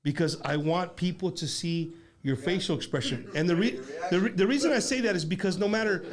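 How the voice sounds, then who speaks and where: A middle-aged man hosting a casual talk show that drops Spanish slang into English. His voice sits a little high for a man. He rambles and circles back on his words as he goes. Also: fairly quick, crowd noise. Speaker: show host. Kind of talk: casual chat with a band.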